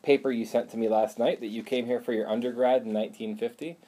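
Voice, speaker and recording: A man's voice: medium pitch, steady tone, fast, warm tone. A young man, a history graduate student, interviewing an older man and asking questions politely and hesitantly, with many "um"s. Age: young adult.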